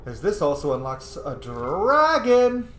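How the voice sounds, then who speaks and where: A man's voice: fairly high pitch, huge pitch swings, slow, a bit bright. A man hosting a game playthrough with casual, playful, sweary commentary. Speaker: gaming video host. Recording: echoey room, faint noise.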